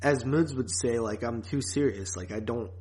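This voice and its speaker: A man's voice: medium pitch, normal speed, a little mellow. A man talks as a podcast co-host in an unscripted, conversational style. He is blunt and loose with swearing, and he tends to ramble as he works through his points. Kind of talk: casual podcast chat recapping and reviewing.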